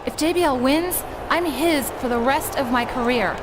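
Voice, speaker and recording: Woman acting as earnest young game heroine, determined, high voice, noisy background. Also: moderate pace, lightly acted. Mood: urgent.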